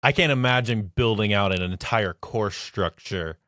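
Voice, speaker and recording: A man's voice: fairly low pitch, moderate pace, pushed and bright, no echo. Laid-back podcast host man, dry sound. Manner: laid-back, laughs often, lots of fillers. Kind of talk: casual podcast chat.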